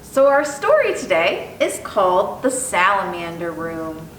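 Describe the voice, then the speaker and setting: In an echoey room, a woman speaks some way from the mic. Her voice swings in pitch as she teaches and reads to children in simple, expressive language. There is a faint noise behind her. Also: unhurried, distant mic. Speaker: zoo educator, storytime host. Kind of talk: educational talk and picture book read aloud for children.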